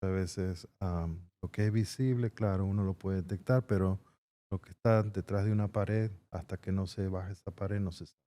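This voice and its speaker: A middle-aged man from Peru talks as a guest in a casual interview. His voice sits low and sounds warm and relaxed. He gives short, plain, unhurried answers. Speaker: guest, construction entrepreneur.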